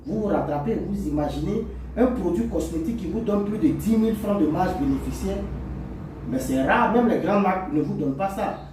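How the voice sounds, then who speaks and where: A man's voice: high voice, expressive, normal speed, noisy background. A man giving an instructional product talk to camera as a seller, in a didactic way, repeating and listing his points. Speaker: cosmetics formulator and seller presenting to resellers. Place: echoey indoor room. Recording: very echoey room, distant microphone.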